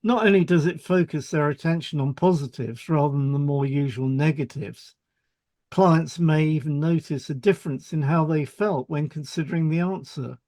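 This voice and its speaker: Man, fairly high pitch, dry studio-like sound, scripted educational narration. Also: moderate pace, a little mellow, dry recording. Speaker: podcast co-host, hypnotherapist. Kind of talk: scripted explanatory podcast read.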